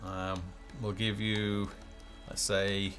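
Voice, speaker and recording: Low-voiced man chatting on a live stream. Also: flat delivery, drawn-out words, a bit bright. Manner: hesitant, rambling, thinking aloud. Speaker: game developer hosting a live stream. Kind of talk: casual unscripted live stream chat. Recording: music playing behind the voice.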